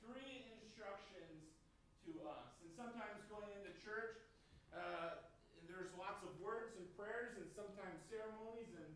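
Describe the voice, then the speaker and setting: A man's voice: sits high, unhurried, a bit bright. A man preaching a sermon to a congregation in a plain-spoken, conversational way, using short, simple phrases. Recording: roomy echo, distant mic, faint noise.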